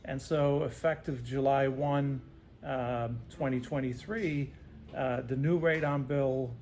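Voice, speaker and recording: A man's voice: medium pitch, steady tone, slow pace, darkish tone, slight background noise. A man answering interview questions as a home-services specialist, explaining things in simple terms and often checking in with "right?". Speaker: radon remediation specialist being interviewed.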